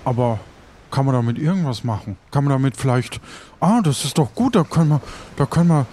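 A man playing a naive shipwrecked pirate in an improvised audio adventure, halting and repeating himself. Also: mid-range voice, lively intonation, warm tone, slight background noise, close to an everyday voice. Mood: hesitant, curious.

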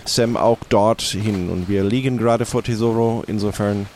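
A man playing a flustered, formal stand-in pirate captain in an improvised roleplay, speaking haltingly and wordily. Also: fairly low pitch, fairly even intonation, darkish tone, slight background noise, lightly acted. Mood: hesitant, formal. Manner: halting, hesitant, wordy.

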